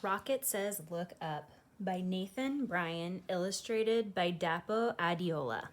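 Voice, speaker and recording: A woman's voice: fairly low pitch, slow. A woman speaking casually as a teacher hosting a picture-book read-aloud, with chatty, informal asides.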